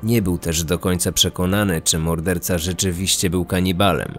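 A man reading scripted true-crime documentary narration in a measured, serious way. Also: deep voice, steady tone, soft background music.

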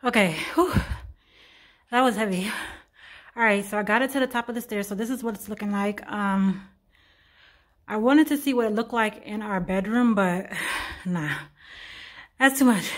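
A woman's voice: mid-range voice, normal speed, pauses often. Chatty young woman vlogging at home. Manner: chatty, talks to viewers as y'all, laughs at herself. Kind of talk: casual home vlog chat. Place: bright home, living room and stairway.

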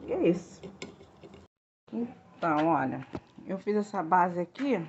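A woman's voice: lowish voice, sing-song, slow, highs cut off, a little hiss or hum. Chatty woman vlogging in her kitchen. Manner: chatty, repeats "gente" often, pleading for likes. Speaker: home DIY vlogger. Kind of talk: casual chatty vlog, talking to viewers. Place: small tiled home kitchen, indoors. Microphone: phone camera held close.

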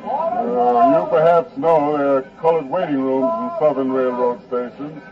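Muffled old-radio actor as a wry American storyteller. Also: male voice, mid-range voice, expressive, measured pace, close to an everyday voice. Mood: wry amusement. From Kansas, United States. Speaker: narrator and essayist. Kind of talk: 1940s radio dramatized first-person narration. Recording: muffled, distant, noisy old radio broadcast.

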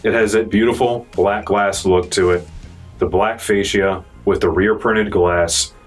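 A young American man presenting a product review in an informal, salesy way, addressing viewers as guys. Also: fairly low pitch. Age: young adult. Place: indoor showroom studio, dark backdrop.

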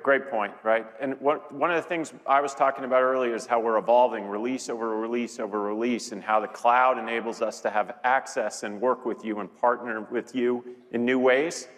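A man's voice: medium pitch, steady tone, brisk, hardly any pauses, a bit bright. A man in his fifties speaking as an executive in a conversational keynote, repeating words and phrases for emphasis. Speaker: chief executive co-presenting a keynote. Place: large conference stage with audience. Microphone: lapel mic. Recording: reverberant large hall sound.

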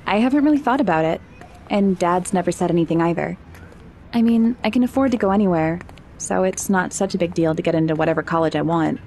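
A woman's voice: fairly low pitch, fast talker, warm tone, slight background noise, natural voice. A young woman playing a sheltered rich girl. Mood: wondering. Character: sheltered rich teenage girl, shy and curious. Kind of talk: audio drama acting.

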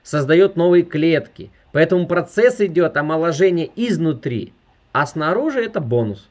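30s guy doing a salesy video call pitch, highish voice, kinda dull lo-fi audio. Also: animated, normal speed, darkish tone. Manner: emphatic, salesy, frequent appeals to viewers. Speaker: network marketing presenter. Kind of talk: sales presentation over video. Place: indoor room with furniture.

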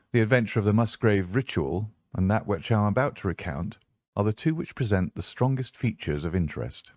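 A man reading literary narration as an audiobook narrator, in a measured, formal manner. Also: fairly low pitch, a little mellow, no echo.

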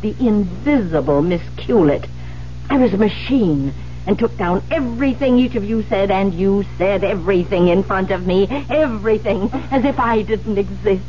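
An actress playing an embittered, obsessive secretary in a 1970s radio drama, intense, melodramatic and vengeful. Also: female voice, medium pitch, animated, moderate pace, warm tone, lightly acted. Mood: cold, threatening. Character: embittered, obsessive secretary, a menacing adoring spinster. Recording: dull lo-fi 1970s radio broadcast, hum.

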